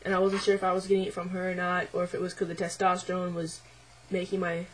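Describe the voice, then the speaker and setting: A young man talking hesitantly to camera in a casual video diary, his voice midway through deepening and a little unsettled, with many ums and likes. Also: monotone, fast, slight background noise, poor audio. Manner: hesitant, lots of ums and likes, quiet and reflective. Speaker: vlogger.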